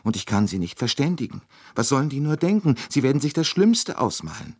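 A man's voice: medium pitch, sing-song, brisk, no room sound, clean studio sound, voice pushed up. A man voicing a tearful, meek Swedish woman passenger in an audiobook reading. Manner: plaintive, self-reproaching.

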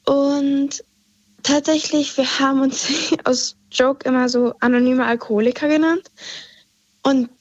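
A young woman calling in to a radio show, hesitantly telling a personal story with many pauses and fillers. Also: sits high, fairly even intonation, no echo. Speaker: caller. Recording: dull lo-fi phone line.

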